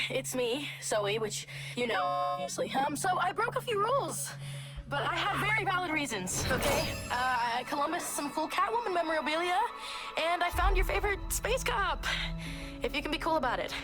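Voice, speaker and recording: A cocky young vigilante chatters in a high, bouncy voice full of sarcasm and quick asides. It sounds like a game cutscene, with loud music underneath. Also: female voice, heavily acted. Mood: sheepish. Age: young adult.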